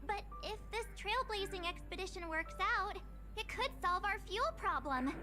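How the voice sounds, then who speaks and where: A woman's voice: very high-pitched, lively intonation, moderate pace. A woman voicing a cheerful young traveler who loves taking photos, chatty and bubbly. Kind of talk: video game voice acting. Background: game music.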